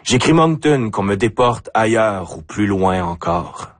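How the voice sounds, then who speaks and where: A man with an Acadian French accent reciting spoken-word poetry deliberately, in short phrases with pauses. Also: fairly low pitch, lively intonation, dry recording. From Acadia, New Brunswick, Canada. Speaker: poet.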